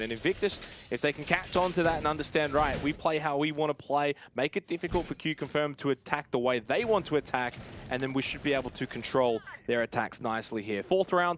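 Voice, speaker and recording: A man giving live analysis as an esports co-commentator in a conversational, explanatory way. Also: medium pitch. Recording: clear game noise and clicks behind. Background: game sounds and clicks.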